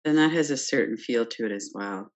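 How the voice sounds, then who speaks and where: A woman teaching guided meditation, speaking calmly and gently in short phrases with pauses between them. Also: extremely low pitch. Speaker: yoga nidra meditation teacher. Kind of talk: guided meditation, unscripted instruction.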